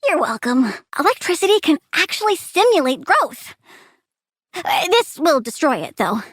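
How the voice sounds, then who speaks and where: Casting: girlish, gleefully murderous plant villainess for a game; very high, bright, petulant, dry studio sound. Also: very animated, normal speed, dry recording. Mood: matter-of-fact menace. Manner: petulant, blunt, cutesy menace. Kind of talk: video game voice acting.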